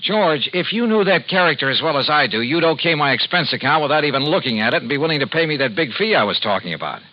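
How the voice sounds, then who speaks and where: An actor playing a shrewd, cocky insurance investigator with an American accent, sardonic and clipped in 1950s radio style. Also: male voice, fairly high pitch, big pitch swings, fast, few pauses, dry recording. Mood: knowing, cocky. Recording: muffled vintage radio recording with hiss.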